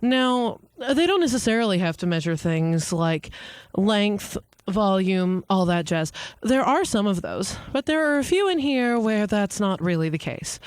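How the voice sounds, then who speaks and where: Lively woman heard through a radio. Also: medium pitch, unhurried, clear, resonant tone, no room sound. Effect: played through a radio speaker. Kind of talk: casual explanatory talk. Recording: radio playback.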